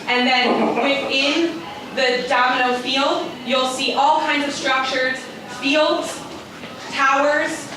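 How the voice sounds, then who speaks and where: A woman hosting a live museum event, rallying the crowd with upbeat announcements. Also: high-pitched. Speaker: event host. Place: large echoey museum hall. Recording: echoey hall, distant mic, noisy crowd.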